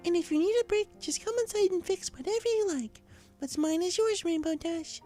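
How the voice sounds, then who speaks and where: A woman voicing a gentle, kindly young female pegasus pony, speaking in a friendly and patient way in a story reading. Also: extremely high pitch, a little fast. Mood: warm, reassuring. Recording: music clearly under the voice.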